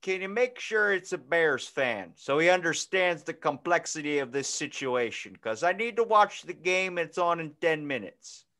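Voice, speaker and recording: A man's voice: on the high side, lively intonation, moderate pace, hardly any pauses, bright, forceful tone. A man plays a folksy old dad in a comedy sketch. He is oblivious and chatty, rambling along in a raised voice. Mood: confused, chatty. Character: folksy, clueless senile dad, Bears football fan. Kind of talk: improvised comedy phone-call skit.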